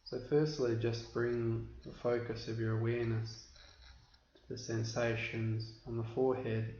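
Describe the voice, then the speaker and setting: Male meditation teacher, very monotone delivery, measured and instructional, captured in an echoey space. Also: mid-range voice. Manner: measured, instructional, with deliberate pauses. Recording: roomy, dull recording with background hiss.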